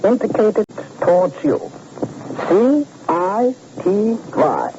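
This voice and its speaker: A smug gang boss in a vintage radio crime drama, gloating and condescending as he explains his scheme. His pitch swings widely in a lively, sing-song way, and the old broadcast sounds dull and full of hiss. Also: slow, heavily acted. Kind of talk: 1940s radio acting.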